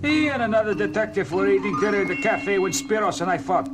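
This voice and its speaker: An actor playing an agitated, shady restaurant owner with a husky voice, in a 1970s audio drama. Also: male voice, high voice, fast talker, over loud music. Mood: defensive. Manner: excitable, defensive.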